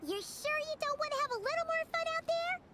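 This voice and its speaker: A woman voicing a tiny, chirpy, childlike companion creature in a video game, eager, teasing and squeaky. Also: lively intonation, fast talker. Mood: eager, coaxing. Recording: loud game music behind.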